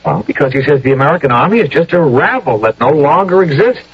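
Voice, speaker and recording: An actor playing a nervous, folksy young American soldier in a radio drama, stammering and deferential, with a husky voice. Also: male voice, on the high side, very animated, quick pace, exaggerated voice. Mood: earnest, hesitant. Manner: stammering, hesitant, deferential, ungrammatical. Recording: dull old radio broadcast recording.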